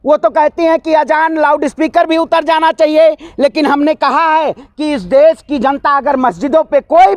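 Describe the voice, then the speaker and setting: A man speaks in a very high voice, recorded dry. He is combative and emphatic, delivering a heated political rant as an interviewee and repeatedly challenging the listener with rhetorical questions. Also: no echo.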